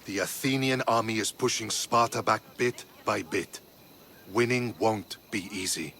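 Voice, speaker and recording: Earnest, forceful Spartan soldier. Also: male voice, fairly low pitch, unhurried, gravelly, slight background noise, full character voice. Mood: steady. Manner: respectful, earnest. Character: loyal, diplomatic Spartan soldier, earnest and steady. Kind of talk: video game cutscene acting.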